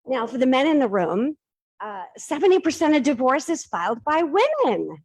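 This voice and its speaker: A woman's voice: high voice, very animated, normal speed, dry recording. Chatty middle-aged woman, dry close sound. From Boston area. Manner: chatty, self-deprecating, asks the audience questions. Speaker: startup pitch presenter. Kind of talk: casual public talk to a live audience.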